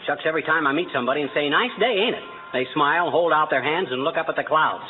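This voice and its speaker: A man's voice: fairly high pitch, very fast, lightly acted. A man playing a stubborn, folksy, huffy American husband in a 1940s-style radio comedy. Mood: impatient, huffy. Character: stubborn, blustering middle-aged small-town husband. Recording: tinny noisy vintage radio recording, audience.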